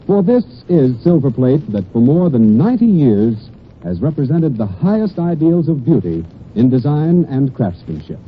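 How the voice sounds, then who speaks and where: A man's voice: medium pitch, very animated, moderate pace. A man reading a scripted 1940s radio commercial as an announcer, earnest and persuasive, with careful phrasing. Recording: dull, noisy 1940s radio broadcast.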